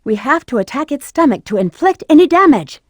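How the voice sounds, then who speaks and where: A woman's voice: high-pitched, big pitch swings, fairly quick, no room sound, clean studio sound. A woman voicing a confident, sly female bat treasure hunter in a video game, with a sassy manner. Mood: brisk, instructive.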